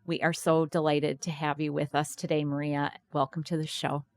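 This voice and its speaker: A woman's voice: low voice, little pitch movement, normal speed, no echo. A woman hosting an interview podcast, upbeat, gracious and welcoming. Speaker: podcast host. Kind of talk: interview conversation.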